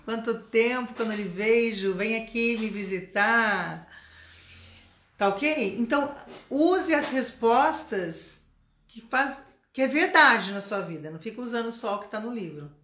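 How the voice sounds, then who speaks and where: A woman's voice: fairly high pitch, lively intonation, measured pace, fairly bright tone, roomy sound. A Brazilian woman teaching English in a live stream, chatty and encouraging, often checking in with her viewers. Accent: Brazilian Portuguese.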